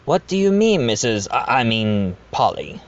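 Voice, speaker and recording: A man's voice: mid-range voice, sing-song, unhurried. A man playing a genial widowed doctor who hosts and narrates a murder-mystery audio drama in a chatty, slightly stumbling way, on a dull home recording. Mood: genial, flustered. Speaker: narrator and host of the dinner party. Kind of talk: fan audio drama acting with narration asides.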